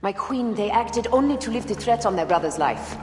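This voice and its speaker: A woman's voice: mid-range voice, animated, brisk, darkish tone, lightly acted. A woman acting a resolute, dutiful ancient Egyptian warrior in an earnest, intense video game scene. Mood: pleading. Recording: faint background noise.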